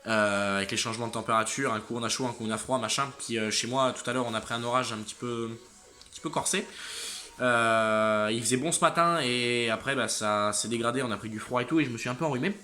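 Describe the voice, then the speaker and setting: Late-teen male vlogger, exhausted and a bit congested, with a tense, bright tone. Halting delivery that trails off and restarts. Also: mid-range voice. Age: late teens. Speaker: motorcycle channel host. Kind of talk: casual vlog update to viewers.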